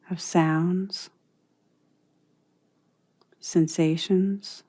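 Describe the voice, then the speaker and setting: Slow, mellow woman guiding a meditation. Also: on the low side. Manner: soothing, unhurried, with long pauses. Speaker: meditation teacher. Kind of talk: guided meditation narration.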